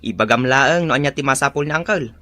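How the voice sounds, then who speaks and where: An extremely deep female voice with an Ilocano accent, in radio drama style. The cousin is polite and neighborly. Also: quick pace, fairly bright tone. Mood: polite, friendly. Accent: Filipino, Ilocano.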